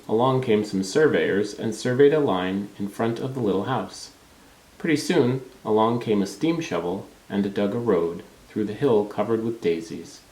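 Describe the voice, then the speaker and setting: Male storybook narrator, lower register, animated intonation, recorded in a roomy space. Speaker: storybook reader. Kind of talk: children's read-aloud narration. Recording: roomy, echoing room sound.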